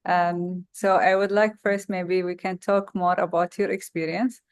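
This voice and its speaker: A woman hosting a podcast interview, asking questions politely and in a measured way with some fillers. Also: fairly low pitch, little pitch movement, clean tone, no echo, clean studio sound. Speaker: podcast host.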